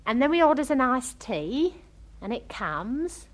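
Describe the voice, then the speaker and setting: Chatty young working-class Englishwoman, highish voice, swooping intonation, gossipy, dramatic, romantic, comic stage monologue. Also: sing-song, a little slow. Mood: coy, pleased. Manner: gossipy, dramatic storytelling, quoting others.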